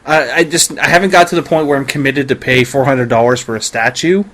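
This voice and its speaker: A man's voice: medium pitch, fairly even intonation, fairly quick, a bit bright. A man talking casually and informally in a wry, incredulous way. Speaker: podcast participant and collector. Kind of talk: casual chat.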